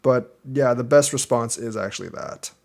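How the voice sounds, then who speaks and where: A man's voice: mid-range voice, moderate pace, vocal fry, darkish tone. A young man teaching a board game, casually reviewing a student's match and thinking aloud as he explains each move step by step.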